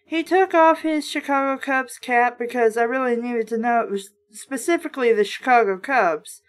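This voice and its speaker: Casting: woman book critic, higher-pitched voice, sardonic and sweary, moving between read-aloud and offhand remarks. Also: moderate pace. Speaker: host reading and critiquing a children's book. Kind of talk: read-aloud with sarcastic casual commentary.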